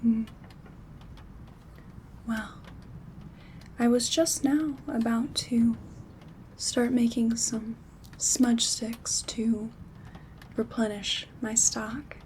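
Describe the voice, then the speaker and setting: A gentle witch herbalist, played by a woman, murmurs and whispers as if to a dear visitor in an ASMR roleplay. The voice is slightly high yet soft and dark-edged, and very unhurried. Faint rain and handling noise sit behind it. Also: steady tone. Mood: calm, caring. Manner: soothing, unhurried, intimate. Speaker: ASMR performer. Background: rain sounds, craft rustling.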